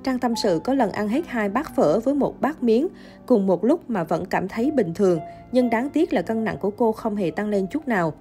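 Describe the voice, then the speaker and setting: Mellow-voiced woman narrating news. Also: fairly high pitch, expressive, moderate pace, faint music behind.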